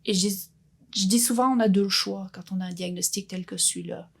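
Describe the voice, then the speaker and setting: A woman in her fifties speaks with a gravelly, husky texture. She talks earnestly and thoughtfully, often restarting her sentences as she reflects on her path as a guest. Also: medium pitch, fairly even intonation, brisk. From Belgium. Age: 50s. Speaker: guest entrepreneur. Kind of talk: reflective personal interview.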